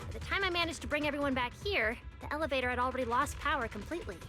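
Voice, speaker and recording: An actress voicing an earnest, caring young researcher in a game dub. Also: female voice, high-pitched, rapid-fire, pushed and bright. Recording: game audio over music.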